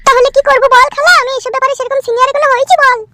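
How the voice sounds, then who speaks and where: A man voicing a coy, complaining young newlywed village wife in a comic cartoon, with a rural West Bengal accent and a pitched-up voice. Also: level tone, very fast, noisy background. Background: music.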